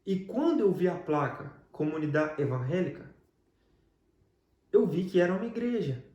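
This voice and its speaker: Male, Brazilian Portuguese accent, slightly high voice, conversational faith testimony, storytelling guest, reverberant room. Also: big pitch swings, moderate pace, a little mellow. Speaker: guest telling his life story.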